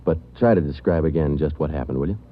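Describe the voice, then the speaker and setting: An actor playing a terse police sergeant who narrates his case in a 1940s radio drama, clipped and deadpan. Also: a bass voice, quick pace. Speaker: narrator and detective. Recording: muffled 1940s radio with music under.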